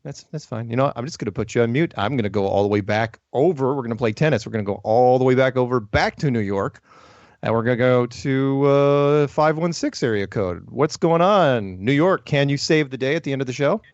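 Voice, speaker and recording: A man's voice: medium pitch, fairly quick, a little mellow, no room sound. An upbeat man takes calls on his show, laughing often and tripping over repeated words. His voice sounds dry and slightly dull, without much room to it. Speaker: host taking calls. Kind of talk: casual call-in chat.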